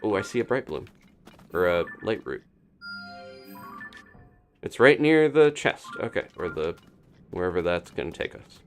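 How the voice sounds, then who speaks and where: A man's voice: low voice, very animated, measured pace, pauses often, soft background music. A man giving casual live gameplay commentary, chatting and thinking aloud in unfinished asides. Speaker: video game streamer.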